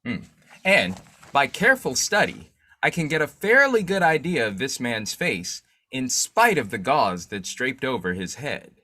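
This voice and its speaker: A man playing a shrewd, determined newspaper boss and secret vigilante in a 1940s-style radio drama, musing deliberately. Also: mid-range voice, sing-song, pushed and bright, full character voice. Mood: scheming, musing. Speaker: actor in an old-time radio drama revival.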